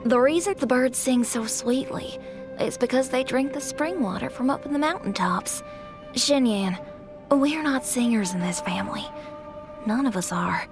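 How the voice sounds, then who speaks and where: A woman's voice: sits high, moderate pace, music in the background. A woman playing a weary, discouraging mother of a young child in an anime game. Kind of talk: anime video game voice acting.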